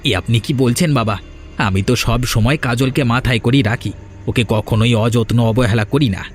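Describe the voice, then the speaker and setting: A man's voice: medium pitch, a little fast, a little hiss or hum, close to an everyday voice. A man voicing a domineering, resentful elder brother in a village family for a fairy-tale cartoon, over music. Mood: questioning, respectful.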